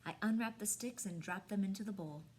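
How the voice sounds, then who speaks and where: A woman reading a storybook aloud expressively, with dramatic pauses and hushed emphasis. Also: medium pitch, slightly rough. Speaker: storybook reader.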